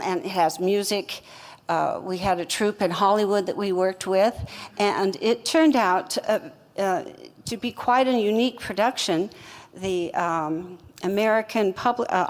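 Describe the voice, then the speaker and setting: An older woman, a company co-founder, telling her business story in an interview at a measured pace with many fillers. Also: medium pitch, big-room sound. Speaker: co-founder guest.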